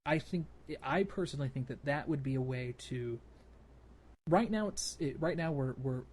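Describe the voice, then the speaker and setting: A man's voice: medium pitch, moderate pace, a little mellow. Man podcasting over noticeable background noise. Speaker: podcast co-host. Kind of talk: casual podcast discussion of gaming.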